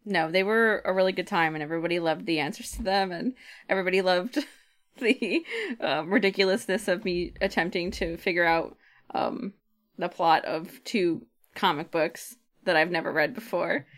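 A woman's voice: lowish voice, fairly bright tone. A woman co-hosting a podcast in casual, chatty talk, with a jokey, giggly manner. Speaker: podcast co-host.

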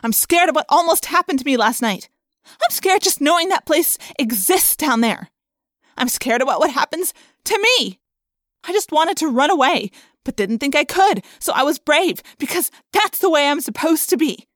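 A woman voicing a fiery, sarcastic teenage girl fighter in a storybook, with loud, emphatic, defiant delivery. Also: high-pitched, expressive, moderate pace, no room sound, clean studio sound, pitched above the performer's own voice. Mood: scared, angry.

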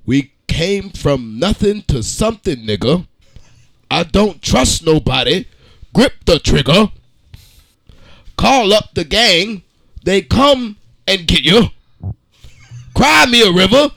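A man co-hosting a podcast, giving a profane, slang-heavy mock-dramatic reading with theatrical pauses. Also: high-pitched, big pitch swings, vocal fry, a bit bright, no echo. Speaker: podcast co-host.

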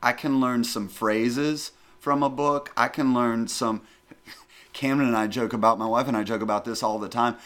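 A middle-aged man from the northern United States talks casually as a panelist. His mid-pitched voice is animated and emphatic. He tells stories conversationally, often repeating phrases to drive them home. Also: normal speed.

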